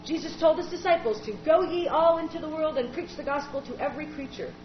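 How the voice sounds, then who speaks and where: An actor playing an eager, earnest young sibling who chatters on. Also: female voice, sits high, fast, close to an everyday voice. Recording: echoey, dull recording with noise.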